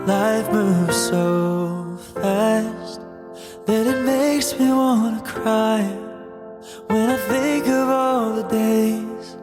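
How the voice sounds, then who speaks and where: A woman singing a wistful, slow ballad about as a sung performance with musical accompaniment. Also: fairly high pitch. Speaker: singer.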